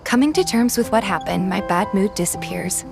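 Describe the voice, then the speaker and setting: A woman voicing a shy, soft-hearted young heroine in a game fan dub, earnest in both narration and dialogue. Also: mid-range voice, moderate pace, creaky, music in the background, close to an everyday voice. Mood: pensive narration.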